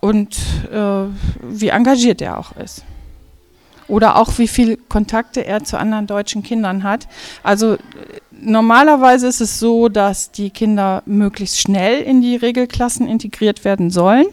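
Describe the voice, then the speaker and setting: A woman's voice: medium pitch, steady tone, normal speed, a little mellow, dry recording. A middle-aged woman teacher answering interview questions, explaining patiently.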